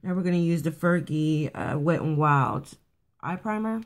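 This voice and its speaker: A woman with a raspy morning voice casually talking through a quick makeup routine, rambling a bit with fillers and restarts. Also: low-pitched. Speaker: beauty vlogger. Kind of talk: casual makeup tutorial chat. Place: at home getting ready for work.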